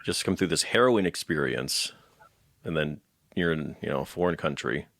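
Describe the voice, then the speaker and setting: A man's voice: rumbling low, lively intonation, moderate pace, creaky, fairly bright tone, no room sound, studio quality. A man hosting a casual conversational interview, listening attentively and reacting to his guest. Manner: attentive, reacting with short responses. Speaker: interviewer, host.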